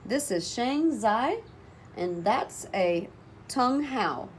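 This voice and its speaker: A woman's voice: on the high side, extremely slow, exaggerated voice. Woman as a patient, practical storybook mother. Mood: patient, assured. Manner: calm, explaining. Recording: noticeable background noise.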